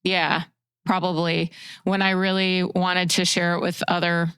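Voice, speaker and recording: An American woman in her sixties with a slightly low, exceptionally clean and resonant voice. She talks in a reflective, heartfelt way as a podcast guest, and the recording is dry with no room sound. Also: flat delivery, unhurried, a bit bright, clean tone, dry recording. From Chicago suburbs, USA. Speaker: podcast guest, fishing guide and outdoor writer. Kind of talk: reflective interview talk.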